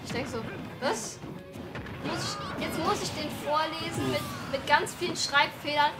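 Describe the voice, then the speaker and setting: A young woman with a high voice chats casually into a headset during a game stream, rambling along with plenty of fillers. Loud game music plays underneath her. The room is small and indoors. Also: a little slow. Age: young adult. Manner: chatty, rambling, many fillers. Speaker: streamer's girlfriend, co-host. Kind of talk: casual chat while gaming.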